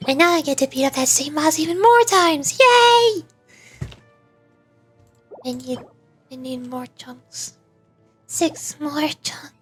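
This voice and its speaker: A woman with a high voice streams a game over faint music. She speaks at a slow, unhurried pace, chatting casually and half to herself as she plays. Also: lively intonation, a bit bright. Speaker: streamer, virtual YouTuber. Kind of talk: casual gameplay livestream commentary.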